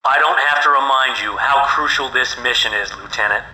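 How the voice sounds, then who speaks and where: An actor playing an authoritative military commander, serious and clipped, giving briefings over radio in a video game. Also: male voice, medium pitch, normal speed, pushed and bright. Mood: stern. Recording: noisy, echoing military radio transmission.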